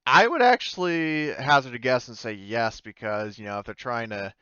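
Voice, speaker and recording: A man's voice: medium pitch, normal speed, bright, forceful tone, clear, resonant tone, no echo, lo-fi sound. A man narrating an improvised tabletop game as game master, tentative and often thinking aloud. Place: indoors, online voice call.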